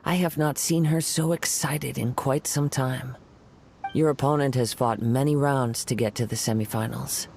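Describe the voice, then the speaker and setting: Game role: reserved young samurai, measured, formal, mid pitch. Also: male voice, vocal fry, a little mellow, slight background noise. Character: calm young male wandering samurai, poetic and reserved.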